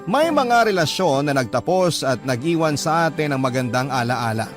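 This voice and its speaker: A man's voice: a little high, expressive, moderate pace. A Filipino man narrating a first-person love letter in the style of a radio drama. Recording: music bed under the voice. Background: background music.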